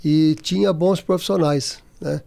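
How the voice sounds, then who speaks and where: A Brazilian man in his sixties, a former footballer, being interviewed in casual talk, halting, with many restarts. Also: on the high side, steady tone, creaky, warm tone. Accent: Brazilian Portuguese.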